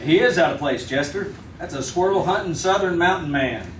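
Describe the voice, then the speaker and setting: An actor playing a watchful, plainspoken US marshal with an American accent in an old-time radio western. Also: male voice, fairly high pitch, fairly quick, a little hiss or hum, lightly acted. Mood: easygoing, dry. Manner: steady, plainspoken, dry. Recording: echoey, distant old radio recording.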